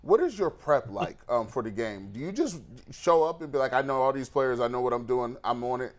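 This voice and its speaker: A man with a medium-pitched voice speaks as a sports show panelist. He puts questions in a casual, conversational way. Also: animated, a little fast.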